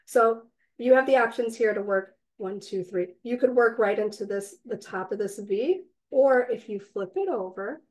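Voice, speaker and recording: A woman with a slightly raspy, cold-hoarse voice, patiently teaching a crochet class step by step and counting stitches aloud. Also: a little high, normal speed, hardly any pauses, darkish tone, poor audio. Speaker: crochet instructor. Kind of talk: step-by-step craft tutorial. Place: indoors, online class.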